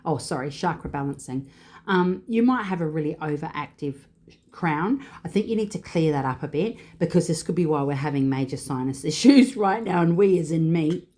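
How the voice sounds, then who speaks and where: Low-voiced, congested woman reading oracle cards. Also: expressive, normal speed, mellow. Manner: chatty, rambling, with frequent fillers. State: congested with sinus trouble. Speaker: tarot and oracle card reader. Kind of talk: casual spiritual card reading.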